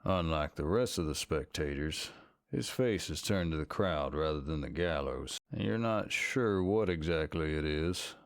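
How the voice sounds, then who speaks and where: Low-voiced man narrating a story. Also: no echo, studio quality. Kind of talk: second-person scripted story narration.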